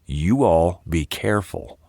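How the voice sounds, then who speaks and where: A man acts out a grave leader in an audio drama with a very low voice and a lively, moving pitch. He is terse and urgent, giving bad news in a dry, close recording. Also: takes it slowly, creaky, no room sound, exaggerated voice. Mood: resigned, commanding.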